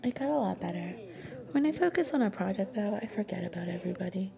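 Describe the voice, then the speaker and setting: A woman playing a shy, sweet young student girlfriend in an ASMR roleplay, speaking softly and breathily with gentle hesitation, often slipping into a whisper. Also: medium pitch, expressive, fast talker, vocal fry. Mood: soft, confiding.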